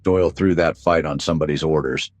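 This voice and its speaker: An actor playing a weary, cynical private detective in a noir radio drama, talking in a wry deadpan. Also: male voice, very deep voice, fast, muffled recording, faint music behind. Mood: cold, confronting.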